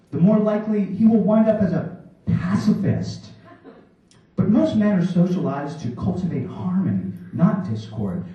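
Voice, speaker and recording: A man giving a theatrical live poetry reading on stage, with a mock-serious, declamatory delivery. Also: high-pitched. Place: small theater in New York, before a laughing audience. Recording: echoey hall, distant dull microphone.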